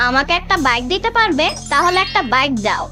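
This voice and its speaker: A performer voicing a cunning, plucky cartoon child with a pleading, expressive delivery. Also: sits high, fast talker, soft background music. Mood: wheedling. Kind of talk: cartoon story voice acting.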